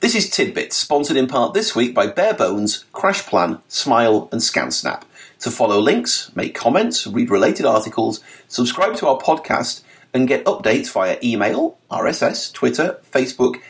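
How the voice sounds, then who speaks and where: A man's voice: mid-range voice, moderate pace. Guy reading out a tech essay for a podcast, voice bounces up and down a lot, dry little jokes. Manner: lively, articulate reading with dry wit. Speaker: podcast narrator. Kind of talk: scripted narration of an article.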